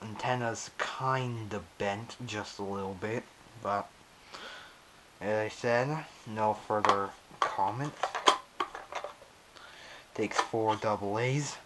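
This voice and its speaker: A man casually narrating a hands-on unboxing video in halting, pieced-together phrases, recorded on an action camera. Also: on the low side, fairly bright tone, slight background noise, poor audio. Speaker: vlogger unboxing a weather radio. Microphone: action camera on head strap, later monopod.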